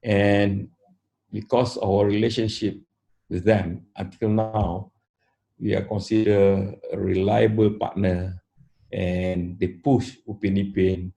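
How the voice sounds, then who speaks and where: Malaysian man in his sixties, low gravelly voice, mellow tone, steady interview storytelling. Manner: unhurried, frequent "uh" pauses, plain matter-of-fact phrasing. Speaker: interview guest, company founder.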